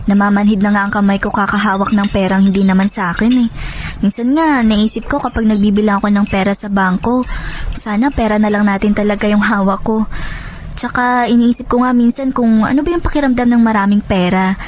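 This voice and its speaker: A woman's voice: medium pitch, steady tone, fairly quick, a little mellow, close to an everyday voice. A woman playing an affectionate young newlywed wife in a radio drama, with a teasing, caring manner. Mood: caring, chatty. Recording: voice over background music. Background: music.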